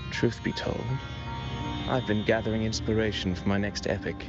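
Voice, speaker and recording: A man playing a courtly, cryptic traveling poet in a video game, speaking formally and eloquently in measured phrases. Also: fairly low pitch, flat delivery, natural voice. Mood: reflective. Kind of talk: theatrical game dialogue, poetic storytelling. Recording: muffled game audio under music.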